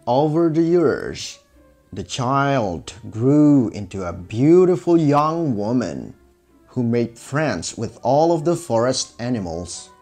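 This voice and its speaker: A man's voice: mid-range voice, sing-song, takes it slowly, darkish tone, soft background music. A man narrating a scripted fairy tale for children as a storyteller.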